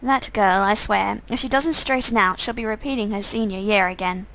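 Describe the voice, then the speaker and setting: A woman plays a fretful stepfather in a visual novel game. He is weary and concerned, with a creaky edge to the voice. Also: medium pitch, normal speed, a bit bright, natural voice, poor audio. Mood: exasperated.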